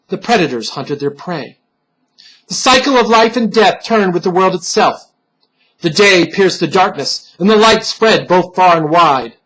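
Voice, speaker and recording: A man giving a solemn, theatrical reading of a gothic horror story, deliberate and dropping to hushed tones. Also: a little high, husky, low-quality recording. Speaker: storyteller, reader.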